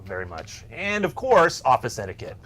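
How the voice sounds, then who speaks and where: A man's voice: medium pitch, huge pitch swings, moderate pace, heavy background noise. A man co-hosting a workplace tech show, giving semi-scripted tips in a casual, instructional way with some bantering asides.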